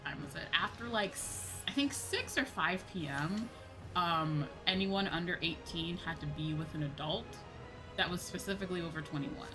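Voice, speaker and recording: A young American woman chats casually on a livestream in a fairly low-pitched voice. She is animated and sweary, slipping into exasperated quoted exchanges as she talks. Music plays clearly behind her. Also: moderate pace, poor audio. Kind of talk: casual chat while gaming on stream. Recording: background music under the voice.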